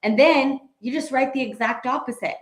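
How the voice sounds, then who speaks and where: A woman's voice: high-pitched, moderate pace. Chatty woman co-host in an echoey room. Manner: chatty and direct, gives tips. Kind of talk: motivational talk.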